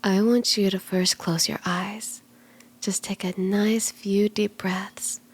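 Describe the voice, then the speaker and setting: A woman voicing a cute, friendly kitsune tarot mystic in an ASMR roleplay, gentle, playful and unhurried, soft-spoken and often slipping toward a whisper. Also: mid-range voice, flat delivery, slightly rough, no echo. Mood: calm, hushed. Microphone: close ASMR microphone in a dry space.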